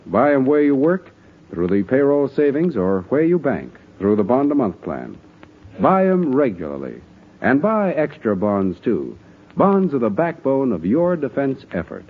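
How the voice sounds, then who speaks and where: Animated American 1940s radio announcer, male. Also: medium pitch, measured pace. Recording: thin, narrow-band 1940s radio recording.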